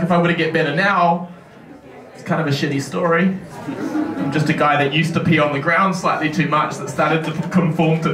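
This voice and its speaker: A young man with a New Zealand accent, a stand-up comedian with a dry, deadpan, self-deprecating delivery, speaking into a handheld mic in a brick comedy club. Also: fairly high pitch, roomy sound, recorded from a distance. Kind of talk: stand-up set and casual interview. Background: crowd laughter.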